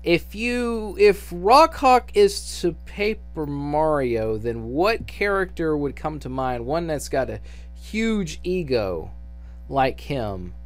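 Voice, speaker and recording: A man's voice: a little high, big pitch swings, slow pace, faint music behind. A man giving an unscripted, casual audition announcement for a fan dub, speaking in a hesitant, rambling way with frequent restarts.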